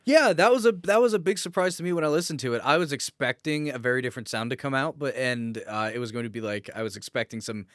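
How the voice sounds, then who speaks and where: Clear-voiced joking man, podcast banter. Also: mid-range voice, fast, hardly any pauses, fairly bright tone, dry recording, studio quality. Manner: joking, crude humour. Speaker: podcast co-host. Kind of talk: casual comedic banter.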